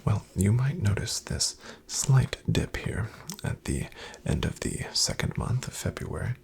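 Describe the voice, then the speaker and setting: Man playing a whispery, gravelly financial advisor, ASMR. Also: on the low side, animated, darkish tone. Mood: measured, reassuring. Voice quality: breathy, half-whispered, gravelly fry. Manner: slow, soothing, formally polite. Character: polite, reassuring, smoothly boastful male financial advisor. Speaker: ASMR roleplay performer. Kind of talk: ASMR, soft-spoken roleplay.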